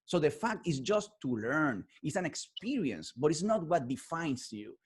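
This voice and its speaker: Husky, eager interviewer with English. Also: male voice, on the high side, big pitch swings, normal speed. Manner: enthusiastic, non-native English phrasing, repeats words. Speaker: interviewer, host. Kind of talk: interview host chat.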